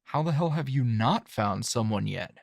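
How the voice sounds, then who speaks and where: A man playing a calm, dry, teasing hero and coworker in an intimate ASMR roleplay, talking in a low-key, halting, conversational way. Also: creaky, no room sound. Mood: reassuring.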